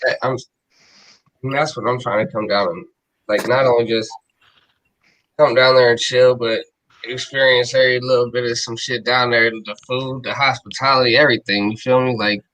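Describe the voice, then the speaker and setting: Bright-voiced man with slangy, profane talk. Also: medium pitch, fairly even intonation, moderate pace, low-quality recording. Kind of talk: casual chat.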